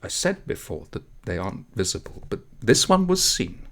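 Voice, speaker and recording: A man's voice: fairly low pitch, big pitch swings, normal speed, fairly bright tone. A man reading as an old village aunt who tells uncanny tales in an emphatic, folksy way. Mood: emphatic, knowing.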